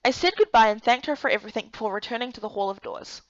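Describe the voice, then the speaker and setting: A woman's voice: on the high side, animated, fast talker, no room sound, lo-fi sound. A woman narrating a voiced game story and speaking as its heroine.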